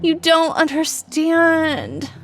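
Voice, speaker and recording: A woman's voice: very high voice, drawn-out words, fairly bright tone, pitched above the performer's own voice, heavily acted. A woman voicing a whiny, exhausted, prickly young female student in an audio drama, with music behind. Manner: whining, shaky, breathy gasps.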